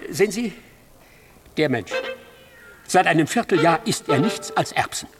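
A pompous radio-play doctor declaims in a high, widely swooping voice. He mocks and lectures with cold cruelty as music plays underneath. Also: lively intonation, moderate pace. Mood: condescending. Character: pompous, cruel doctor lecturing students on his human experiment. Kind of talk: theatrical radio drama lecture.

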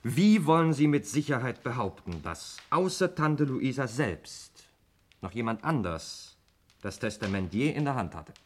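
A man's voice: medium pitch, big pitch swings, unhurried. A man playing a sceptical nephew in a 1960s radio crime drama, putting pointed, probing questions. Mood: challenging. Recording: old radio recording with faint noise.

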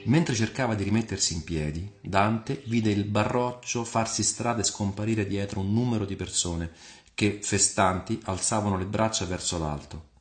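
A man with a fairly low voice narrates a literary historical tale. His delivery is measured and dramatic, like a storyteller at work. Music plays beneath the voice. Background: music under the voice.

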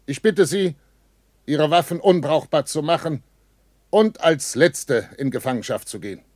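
An actor playing a German army captain who speaks in a formal, solemn military manner in a 1960s radio play. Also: male voice, fairly high pitch, expressive, measured pace, a little mellow, no echo, clean studio sound. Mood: solemn, resolute.

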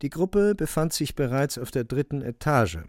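A man narrates a literary radio play at a mid pitch, very close and dry. His storytelling is measured and precise. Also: animated, darkish tone, no room sound. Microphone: close studio microphone.

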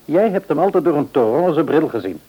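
An actor playing a mild, patient middle-aged father in a radio serial, speaking in a measured, good-humoured way. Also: fairly high pitch, lively intonation, husky, dull audio. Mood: reflective. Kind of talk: Dutch radio serial acting.

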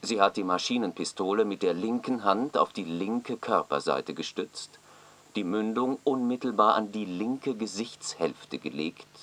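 A sober male official in a radio play. He has a low voice and reads his report formally, factually and with detachment. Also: fairly bright tone, full character voice.

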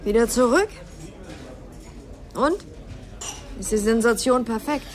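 Witty, teasing detective's secretary. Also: female voice, on the high side, expressive, slow pace, faint music behind. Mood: curious. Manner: ironic, playfully grand. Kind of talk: radio crime drama dialogue.

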